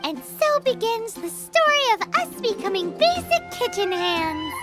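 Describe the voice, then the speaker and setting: Chirpy little fairy sidekick, very high and sing-song, cheeky. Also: female voice, big pitch swings, a little slow, fairly bright tone, heavily acted. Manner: bubbly, cheeky, speaks of herself in third person. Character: tiny floating fairy companion girl, chirpy and nosy. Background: game music.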